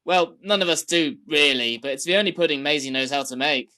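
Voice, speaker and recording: A woman's voice: low voice, fairly quick, bright, forceful tone. A woman playing a sardonic young upper-class son, a law student.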